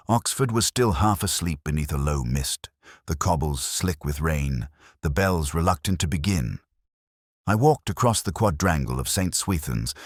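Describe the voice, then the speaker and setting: A man reading a scripted first-person detective story in a measured, brooding, reflective way. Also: very deep voice, husky, dry recording, studio quality. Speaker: narrator.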